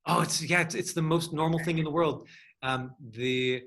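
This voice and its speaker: A man's voice: fairly high pitch, fairly even intonation, normal speed, vocal fry, fairly bright tone. A man working as an acting coach, teaching and answering questions in a rambling, encouraging, conversational way. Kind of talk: casual online acting lesson with question and answer.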